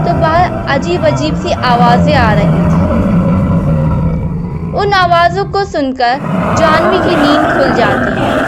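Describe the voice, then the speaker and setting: Slow, high-voiced woman storyteller. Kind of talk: scripted horror bedtime story narration. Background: loud music.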